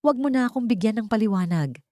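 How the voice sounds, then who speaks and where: A woman's voice: on the high side, expressive, quick pace, dark tone, no room sound, studio quality, voice pushed up, natural voice. Woman voicing a stern Filipino village captain. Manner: commanding, taunting. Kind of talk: audiobook character dialogue.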